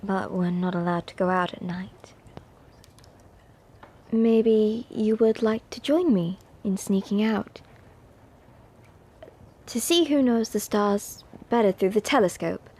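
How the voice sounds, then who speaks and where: A woman's voice: mid-range voice, slow, long pauses, darkish tone, husky. A woman playing a haughty, prim upper-class schoolgirl at a wizard academy in an ASMR roleplay, snooty, scolding and teasing. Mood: soft, wistful. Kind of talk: ASMR roleplay addressed to the listener.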